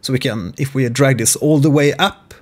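A man in his forties giving an informal, measured, instructional screen-share tutorial on music software from a small home studio. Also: mid-range voice.

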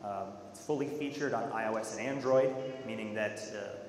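Low-voiced man presenting in an echoey hall. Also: moderate pace. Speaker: software presenter. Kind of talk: conference product presentation. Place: large dark conference auditorium, at a podium. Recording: echoey hall with faint noise.

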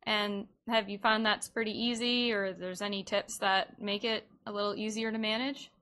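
A woman's voice: medium pitch, little pitch movement, normal speed, bright, forceful tone. A woman hosting a conversational podcast interview in an encouraging, affirming manner.

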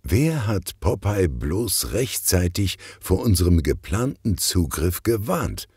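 A man acts out a frustrated policeman dad in a storybook. He is sardonic and mocking, with a deep voice that swings expressively. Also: very animated, takes it slowly, darkish tone, breathy edge, no room sound, clean studio sound, heavily acted. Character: frustrated, mocking adult male policeman, family father.

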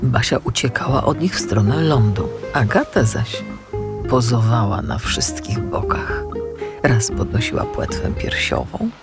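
A woman's voice: a bass voice, sing-song, a little slow. A woman narrating a scripted children's story as an audiobook narrator. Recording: music under the voice.

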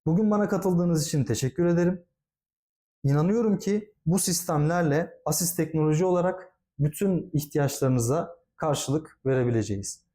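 Male presenter, somewhat high pitch, mellow relaxed tone, formal and polite, step-by-step product demo delivery. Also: moderate pace. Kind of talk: product demonstration, explanatory presentation.

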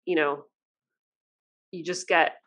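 Bright-toned woman podcast host. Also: low voice, fairly even intonation, slow. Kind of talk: casual chat. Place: home office, indoors.

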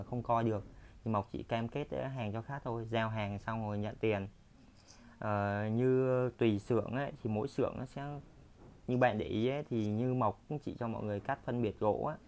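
A man's voice: lowish voice, darkish tone, clear, resonant tone. A man giving a chatty, repetitive sales walkthrough of furniture in his workshop, filmed on a handheld phone. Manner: chatty, repetitive, filler-heavy.

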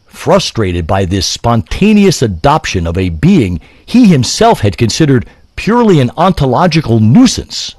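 A man narrating a scripted story in a measured, literary manner. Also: mid-range voice, sing-song, darkish tone, no room sound, studio quality.

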